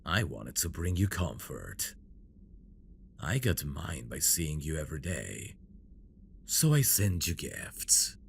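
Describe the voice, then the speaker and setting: A man playing a possessive, teasing fantasy villain devoted to his bride in an intimate ASMR audio drama, with a gravelly murmur that often drops into breathy whispers. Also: extremely low pitch, very animated, a little hiss or hum, heavily acted. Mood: tender, longing. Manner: slow, teasing, seductive delivery.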